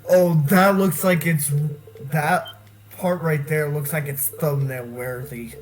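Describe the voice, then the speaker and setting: A man's voice: fairly high pitch, steady tone, takes it slowly. A man giving casual, exasperated commentary as he streams a video game. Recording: game music playing under the voice. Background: video game music.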